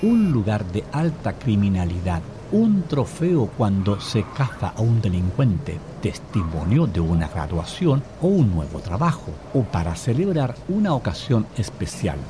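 A man explains a curious fact in a matter-of-fact radio narration. His voice sits low and moves in a lively way. Audible street and vehicle noise runs behind him. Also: a little slow, few pauses. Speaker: segment narrator. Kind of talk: scripted informational narration.

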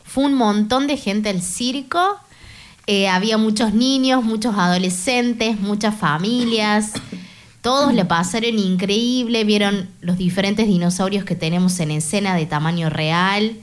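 A woman's voice: mid-range voice, unhurried. A woman who works as a circus aerial acrobat, talking enthusiastically as a radio guest. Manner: upbeat, enthusiastic promoter. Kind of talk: guest interview.

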